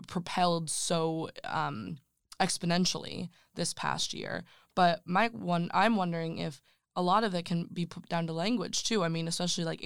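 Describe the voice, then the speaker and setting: An interviewer leading a casual book discussion, thoughtful and hesitant with many pauses. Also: mid-range voice, gravelly, a bit bright, clean tone, no echo, clean studio sound. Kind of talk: casual conversation.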